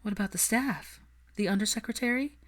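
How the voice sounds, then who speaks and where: A woman's voice: medium pitch, brisk, pitched above the performer's own voice, lightly acted. A woman voicing a determined, frank young witch in an audiobook-style story, articulate and earnest, with a teasing edge. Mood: earnest, businesslike.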